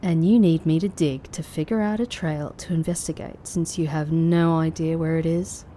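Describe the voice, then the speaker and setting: A woman's voice: sits low, fairly even intonation, moderate pace, mellow, slight background noise, close to an everyday voice. An actress playing a reluctant, sardonic female ex-secret agent with a dry, grudging manner in an audio drama. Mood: resigned. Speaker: voice actor. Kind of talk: anime-style audio drama acting.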